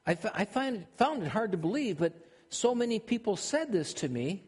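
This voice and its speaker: Older Minnesotan pastor in a reverberant hall. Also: male voice, high voice, very animated, normal speed, breathy edge. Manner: conversational, with frequent restarts. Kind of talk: sermon.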